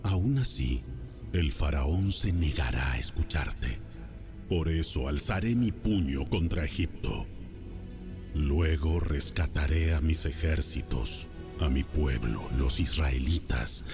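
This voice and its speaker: An actor playing God in a dramatized audio Bible, speaking with solemn, measured authority. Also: male voice, very deep voice, expressive, full character voice. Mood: stern, majestic. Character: God, commanding, solemn male deity of the Bible. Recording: loud music under the voice.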